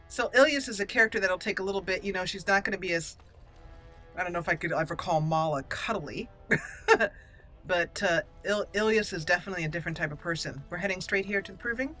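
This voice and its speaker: A woman's voice: lowish voice, a little fast, sharp tone, faint music behind. A woman giving casual commentary while she plays and roleplays through a video game. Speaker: gaming channel host playing a roleplay.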